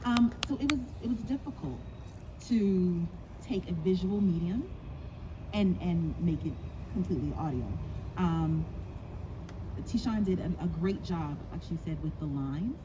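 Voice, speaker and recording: Muffled, lowish woman director reflecting aloud. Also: animated, measured pace. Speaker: audio play director. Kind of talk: live Q&A talk. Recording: muffled, noisy live event recording.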